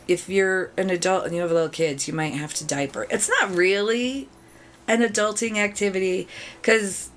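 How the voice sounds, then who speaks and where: A middle-aged woman hosting a video game channel, chatting casually to viewers with a raspy, sore throat after being ill. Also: mid-range voice, fairly bright tone. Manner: chatty, upbeat, thanks viewers often.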